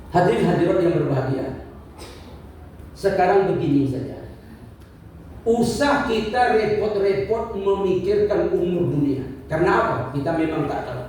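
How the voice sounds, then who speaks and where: A man's voice: high-pitched, expressive, moderate pace, darkish tone, slight background noise. A man in his fifties giving a religious lecture, asking rhetorical questions, repeating his points and joking lightly with the congregation. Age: 50s. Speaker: preacher. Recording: echoey hall from far off.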